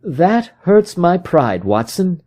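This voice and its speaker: An intense, vengeful detective from a storybook, acted out by a male reader, pitched high and swinging widely as he speaks. He is proud and brooding, and declares things with agitated emphasis. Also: sing-song, slow pace, mellow, heavily acted. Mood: wounded, resolute. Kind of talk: dramatic audiobook character reading.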